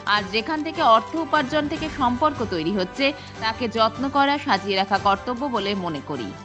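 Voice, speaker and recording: Female Indian Bengali news narrator, scripted feature read, very lively high voice. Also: moderate pace. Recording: muffled lo-fi voice-over over music. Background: background music.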